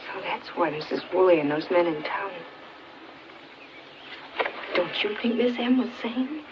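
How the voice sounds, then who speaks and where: A woman's voice: fairly low pitch, expressive, a little slow, lo-fi sound. Earnest young woman, very noisy film sound. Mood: indignant. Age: young adult. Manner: well-spoken, polite. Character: earnest young woman, polite granddaughter, quietly indignant. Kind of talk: 1940s film drama acting.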